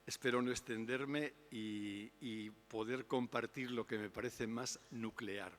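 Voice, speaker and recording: An older man giving an unhurried, reflective public talk on spirituality. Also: mid-range voice, steady tone, fairly bright tone, slight background noise. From Spain. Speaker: invited speaker. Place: lecture hall. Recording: long hall reverb.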